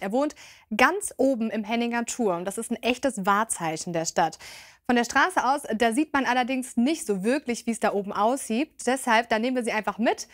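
A woman's voice: fairly high pitch, no room sound, studio quality. A woman reading scripted TV voice-over narration in an upbeat way. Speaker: TV reporter, narrator.

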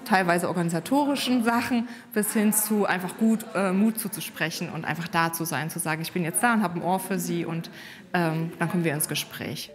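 Gentle, encouraging woman support worker. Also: on the low side, fairly quick. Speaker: newborn family support worker. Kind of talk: bedside conversation and interview. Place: hospital maternity ward.

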